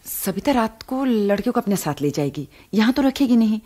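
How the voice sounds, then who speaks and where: Radio drama wife and mother: earnest, principled, argumentative, animated intonation, faintly husky. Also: fairly high pitch, normal speed. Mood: persuading, indignant. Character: middle-class wife and mother, caring, reproachful, principled. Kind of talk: Hindi radio drama acting.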